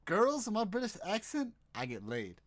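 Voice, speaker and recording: A male streamer joking crudely over gameplay. His voice sits fairly high and jumps around lively in pitch. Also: moderate pace. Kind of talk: let's play commentary.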